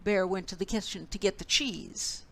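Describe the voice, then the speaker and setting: A woman storyteller reading a children's picture book expressively, with deliberate, dramatic phrasing. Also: medium pitch, vocal fry.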